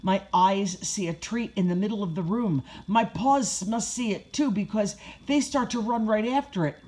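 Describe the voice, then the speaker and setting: A woman voicing an excitable, food-crazed young puppy who gushes childlike exclamations as he tells his own storybook tale. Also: medium pitch, normal speed, slightly rough, lightly acted. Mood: excited, gleeful. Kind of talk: first-person children's storybook narration.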